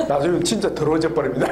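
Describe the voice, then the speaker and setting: High, animated male preacher. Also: background noise. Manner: lively, laughing often, asks the congregation questions. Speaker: pastor. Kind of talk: sermon with call and response. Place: church hall with congregation.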